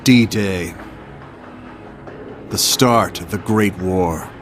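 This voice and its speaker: A man's voice: sits low, drawn-out words, soft background music. A man playing a shrewd, serious military officer in a video game cutscene, speaking with pointed formality. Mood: guarded.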